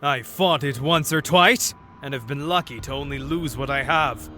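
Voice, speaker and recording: A man's voice: mid-range voice, moderate pace, sharp tone, slight background noise, lightly acted. An actor playing a jovial, battle-scarred swordsmith and father, hearty and wry, in a fantasy audio drama.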